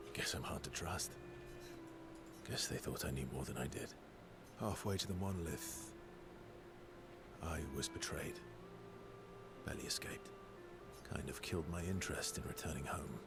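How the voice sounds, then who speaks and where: Casting: guarded, world-worn video game survivor, very low voice, dry understated humor, prominent music underneath. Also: male voice, measured pace, long pauses. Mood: reflective, subdued. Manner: understated, hesitant, drily teasing. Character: weary, wry adult man, guarded expedition survivor. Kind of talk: naturalistic video game cutscene acting. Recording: background music over dialogue.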